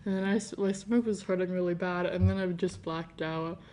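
A relaxed woman chatting on a podcast in an easy, unhurried way. She throws in dry little jokes and deadpan remarks. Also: lowish voice. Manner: dry, laid-back, joking. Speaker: podcast co-host. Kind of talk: casual chat.

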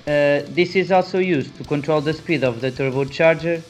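A man's voice: on the high side, moderate pace, faint music behind. A man with a Portuguese accent, a native Portuguese speaker, carefully reading a prepared technical explanation aloud with small hesitations.

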